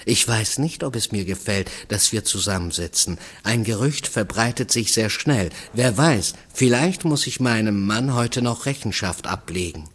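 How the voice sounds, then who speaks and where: A man's voice: lowish voice, lively intonation, normal speed, few pauses, fairly bright tone, slightly rough. A man reading a wary, indignant married woman in a story, with a brusque, rebuffing manner. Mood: wary, guarded.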